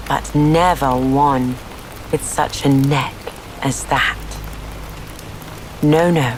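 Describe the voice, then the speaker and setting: A woman's voice: very deep voice, slight background noise, poor audio. Woman voicing an indignant, scornful storybook pigeon. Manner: scornful, sulky, accusing. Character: indignant, shrieking, suspicious mother pigeon.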